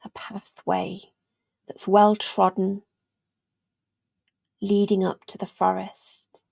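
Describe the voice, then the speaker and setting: A woman leading a guided meditation in a gentle, soothing, unhurried way, leaving long pauses and letting phrases trail off almost to a whisper. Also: mid-range voice, completely flat delivery, creaky, no room sound. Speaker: meditation guide, yoga teacher.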